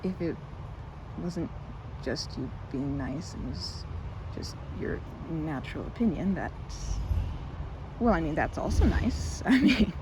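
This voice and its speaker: A woman playing a timid, excitable park regular in an ASMR roleplay, stammering and chattering apologetically in a one-sided conversation. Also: low voice, takes it slowly, background noise. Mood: flustered, apologetic. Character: timid, rambling young woman, excitable park regular who loves ducks.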